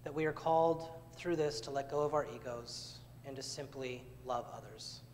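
A man serving as pastor, leading the communion liturgy in a measured, solemn way, recorded from a far camera in a church sanctuary. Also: mid-range voice, a little mellow, a little hiss or hum. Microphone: far camera at back of church. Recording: church livestream with long reverb.

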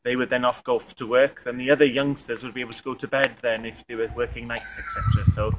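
Halting Welsh man outdoors. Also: mid-range voice, level tone. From Wales. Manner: halting, many fillers and restarts. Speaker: tourism representative. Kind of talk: on-camera interview. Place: outdoor museum yard by stone buildings. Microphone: camera mic, outdoors. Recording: outdoor noise behind.

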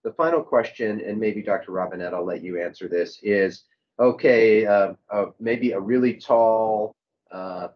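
Low-pitched man moderating, slightly dull sound. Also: measured pace. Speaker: moderator, doctor. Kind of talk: panel discussion moderation. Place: office, on a video call. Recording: dull video-call audio.

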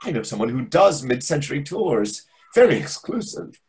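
A man's voice: mid-range voice, big pitch swings, moderate pace, a little mellow. A middle-aged man playing a chatty, nosy, self-important waiter in a comedy play reading, pompous and insinuating. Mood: boastful. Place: indoors on a video call. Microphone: video call microphone.

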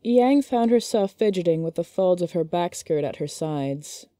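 A woman narrator reading a scripted story in an expressive, measured storytelling manner. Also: on the low side, no room sound, clean studio sound.

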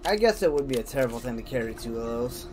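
A man's voice: mid-range voice, lively intonation, fast talker, background noise. A man giving casual let's play commentary, talking to himself in folksy slang with some mild swearing. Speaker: gamer, video host. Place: indoors, over game audio.

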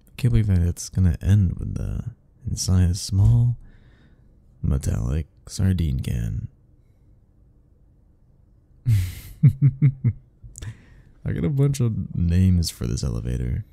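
A man's voice: deep voice, big pitch swings, slow, vocal fry, long pauses, mellow, no room sound, full character voice. A man playing an anxious, sarcastic, self-deprecating young man in a romantic ASMR roleplay, speaking in a wry, rambling way to the listener. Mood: awkward, teasing. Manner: sighing, wry, rambling, chuckling.

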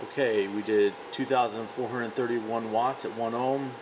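A man's voice: mid-range voice, steady tone, normal speed. Man calling out test readings over noise. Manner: terse, factual. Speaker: product tester. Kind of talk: matter-of-fact test commentary.

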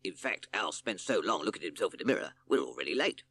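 A man's voice: deep voice, big pitch swings, fast, fairly bright tone, husky, full character voice. A man voicing a reckless, scatterbrained male rat in a storybook, brash and offhand, close to his own voice.

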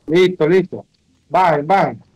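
High-voiced Venezuelan man calling out commands. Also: measured pace, a little mellow. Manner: bossy, slangy, repeats commands. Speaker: online game teammate. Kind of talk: casual game callouts. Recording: music behind the voice. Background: music.

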